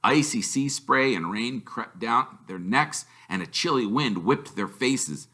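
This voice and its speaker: Male narrator for a children's novel: expressive, emphatic, forceful bright tone, lively swings in pitch. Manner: expressive, emphatic, with drawn-out words. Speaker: teacher reading aloud. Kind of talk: animated storybook narration.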